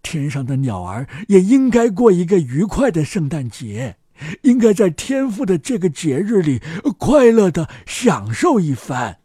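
A man co-hosting a children's story programme, narrating a fairy tale in an expressive scripted style. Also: high-pitched, measured pace, few pauses, slightly rough, no echo, studio quality.

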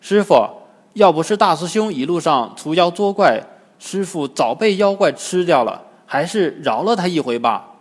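A man's voice: on the high side, very animated, measured pace, darkish tone, exaggerated voice. A man voicing a loyal, earnest monk disciple in a storybook tale, pleading in tone, with long reverb.

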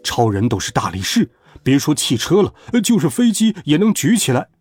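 A man voicing a tiny, fussy father in a children's story, reasoning patiently with many drawn-out fillers. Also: on the high side, big pitch swings, darkish tone, husky. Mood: coaxing.

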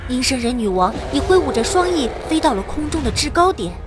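A woman's voice: high-pitched, very animated, brisk, over loud music. A woman playing a perky, self-admiring young magician who theatrically narrates an adventure in a fantasy audio drama. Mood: dramatic. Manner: theatrical, playful storytelling.

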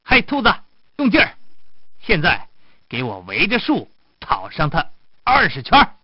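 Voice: mid-range voice, wildly swooping pitch, unhurried, slightly rough, no echo, lo-fi sound, full character voice. A storyteller voicing a sly, bossy fiddler from a fairy tale, commanding and coaxing. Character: sly, bossy fiddler who tricks animals.

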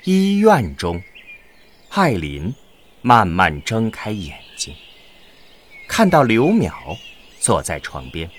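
A man narrating a scripted audio drama with measured, dramatic storytelling. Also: fairly low pitch, huge pitch swings, slight background noise.

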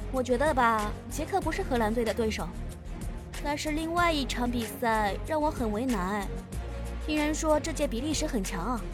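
A woman co-hosting a football show, chatting casually as a fan about her match predictions. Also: sits high. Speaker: co-host giving fan opinion. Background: music.

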